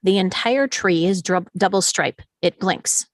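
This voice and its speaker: A woman's voice: on the low side, measured pace, no echo. A woman reading scene directions as the narrator of a live script table read.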